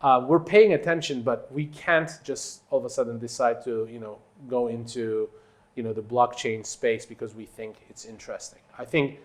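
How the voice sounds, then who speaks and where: A man from Turkey, a native Turkish speaker, giving conversational interview answers as a company executive, in halting phrases with emphatic points. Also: mid-range voice, fairly even intonation.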